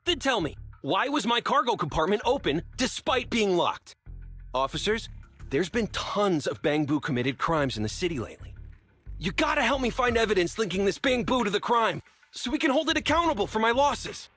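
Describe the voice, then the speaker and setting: A man playing an indignant, accusing delivery truck driver in a video game, with a husky voice. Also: high-pitched, sing-song, normal speed, fairly bright tone, soft background music, full character voice. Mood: angry, accusing. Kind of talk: video game character acting.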